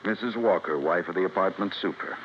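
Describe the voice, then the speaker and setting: A dull vintage radio recording with faint hiss carries an American actor as a stern male police detective. His voice sits fairly low, and he questions firmly in short, stubborn phrases. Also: brisk, close to an everyday voice. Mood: firm, accusing. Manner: terse, firm questioning. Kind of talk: golden-age American radio drama acting.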